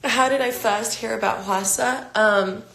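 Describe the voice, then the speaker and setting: Slow gravelly-voiced woman chatting. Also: on the high side, roomy sound, slight background noise. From London. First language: Albanian. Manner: relaxed, rambling, many fillers and restarts. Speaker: pop singer answering fan questions. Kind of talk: casual livestream chat. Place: home during quarantine. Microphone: phone.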